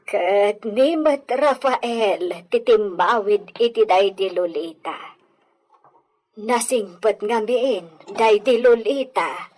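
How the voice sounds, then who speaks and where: A woman's voice: mid-range voice, slow, darkish tone, low-quality recording. A woman playing a skeptical, level-headed village confidante in an Ilocano audio drama. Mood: doubtful.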